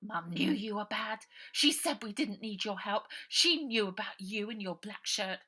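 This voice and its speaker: Woman as a high, urgent, defiant young girl. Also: expressive, measured pace, pushed and bright, husky, full character voice. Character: brave, desperate young girl protecting her little sister.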